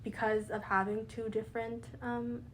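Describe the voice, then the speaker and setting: A woman's voice: fairly high pitch, flat delivery, moderate pace, slight background noise, low-quality recording. A young Canadian woman with Pakistani roots, talking casually and earnestly on a podcast, filling her speech with "like". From Pakistani family, raised in Canada. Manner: lots of "like" fillers, earnest, sympathetic. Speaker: podcast guest. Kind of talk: casual conversation.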